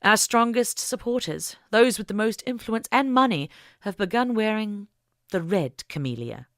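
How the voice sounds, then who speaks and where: A woman narrator voicing an earnest, wry older suffragist with formal, measured phrasing in an audiobook. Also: medium pitch, expressive, no echo, clean studio sound, pitched above the performer's own voice, close to an everyday voice. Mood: worried. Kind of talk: audiobook character dialogue.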